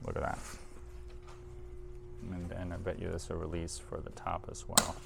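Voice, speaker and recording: A man's voice: low voice, completely flat delivery, moderate pace, creaky, loud music behind the voice. A man presenting audio equipment in a casual hands-on demo, informal and thinking aloud as he handles the machine.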